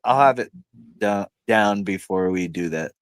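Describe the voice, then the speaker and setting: A man's voice: fairly low pitch, unhurried, clear, resonant tone, dry recording. A man chatting casually on a fan stream in a laid-back, self-deprecating way, with lots of "like" and "uh". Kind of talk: casual chat.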